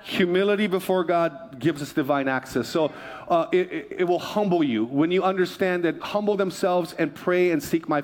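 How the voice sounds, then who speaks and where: Male preacher from Hawaii, slightly high voice, emphatic and repetitive sermon delivery. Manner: emphatic repetition, calls for congregational response. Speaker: pastor.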